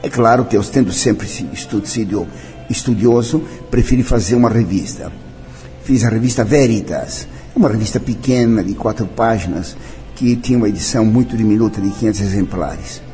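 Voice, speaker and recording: An older man speaks, his voice low-pitched and mellow. He talks in an interview with a solemn, declamatory manner, slipping now and then into other languages. Faint music plays behind him. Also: normal speed. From Brazil. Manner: solemn, declamatory, mixing in French and Italian phrases. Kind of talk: interview, personal reminiscence.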